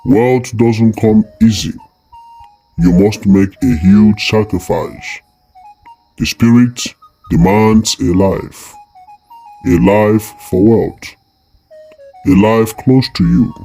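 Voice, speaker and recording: Woman putting on a husky, low, sinister old herbalist. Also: fairly even intonation, warm tone, soft background music, heavily acted. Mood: grave, menacing. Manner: slow, ominous, measured pronouncements. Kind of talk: storytelling character voice.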